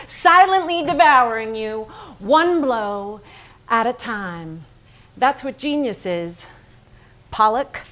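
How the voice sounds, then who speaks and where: Painter's wife, reproachful and fiercely devoted, higher female voice, unhurried biting theatrical monologue. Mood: grim, relentless. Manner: deliberate, incantatory, biting. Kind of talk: theatrical staged reading, monologue.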